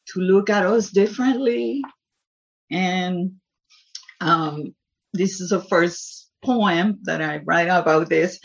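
A woman's voice: lowish voice, muffled recording. A woman from Puerto Rico with a Puerto Rican accent, a native Spanish speaker, speaking as a poet telling personal stories and reading to an audience. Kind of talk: personal storytelling and poetry reading before an audience.

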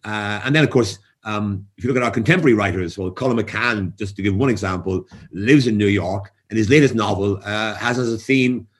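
A man's voice: fairly low pitch, a little fast, few pauses. A man moderating a panel discussion, speaking thoughtfully with many fillers and restarts.

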